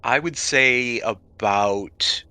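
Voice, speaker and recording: A man's voice: medium pitch, very slow, sharp tone, smooth, no room sound. A man narrating a tabletop role-playing game as game master, describing scenes with casual asides and small hesitations.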